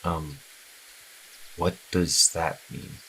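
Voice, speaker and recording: Earnest young priest for fantasy audio drama, played by a man: deep register, sincere, slightly hesitant delivery. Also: level tone, drawn-out words, creaky, sharp tone, slight background noise, full character voice, poor audio. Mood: uneasy, subdued.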